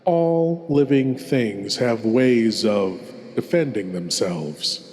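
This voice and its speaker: A man playing a brooding, courtly ghoulish horror host, delivering a deliberate theatrical monologue with ominous pauses. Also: medium pitch, expressive, a little mellow.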